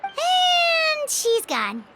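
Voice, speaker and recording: A woman voicing a small, childlike fairy companion in a video game, squeaky, chirpy and curious. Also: wildly swooping pitch, drawn-out words, heavy background noise, heavily acted. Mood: concerned. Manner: refers to self in third person, earnest.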